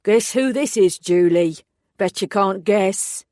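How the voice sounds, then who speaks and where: Woman doing a gruff middle-aged man, blunt, plain-spoken, storybook figure, dry sound. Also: mid-range voice, slow, warm tone, no echo, close to an everyday voice. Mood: teasing. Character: gruff middle-aged male village pub regular.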